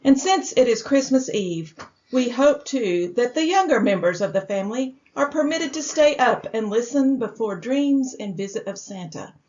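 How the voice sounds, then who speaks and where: Polished, higher-pitched female radio announcer. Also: animated, moderate pace, a little mellow. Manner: polished, formal, gracious. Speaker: sponsor's announcer. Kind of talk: scripted vintage radio announcement. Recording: dull vintage radio recording.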